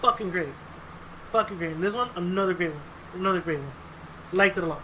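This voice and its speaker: A man's voice: sits high, fast talker. A man chatting casually as a podcast host, emphatic and profane, often repeating his phrases. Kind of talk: casual chat. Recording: faint background noise.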